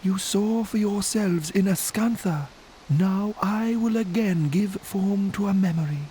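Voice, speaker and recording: Slow, solemn mystical spirit. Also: male voice, sits high, slight background noise, low-quality recording. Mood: serene, solemn. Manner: solemn, ceremonious. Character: serene, mysterious moonlit spirit, solemn and ageless. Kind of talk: video game cutscene voice acting. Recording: loud game music behind the voice.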